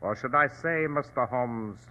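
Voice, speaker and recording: An actor playing a dignified, ruthless provincial governor from China, courtly and formal, in 1940s radio drama style. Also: male voice, medium pitch, unhurried, sharp tone. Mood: determined. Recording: thin, narrow-band old radio recording.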